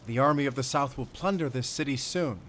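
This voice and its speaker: A man's voice: medium pitch, fairly quick, fairly bright tone, a little hiss or hum, natural voice. A man voicing a noble, gracious princess in an audio drama tale, with a regal, earnest, declamatory delivery. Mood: earnest, protective.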